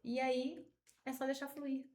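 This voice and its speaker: Woman guest, gentle advice to parents, higher voice, roomy echo. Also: flat delivery, measured pace, a little hiss or hum.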